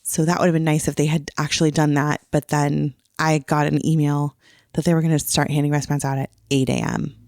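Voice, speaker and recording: A woman's voice: sits low, flat delivery, fairly quick, a little mellow, no echo, studio quality. A woman co-hosting a podcast, recounting things in casual, conversational storytelling. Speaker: podcast co-host. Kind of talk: casual chat, recounting a trip.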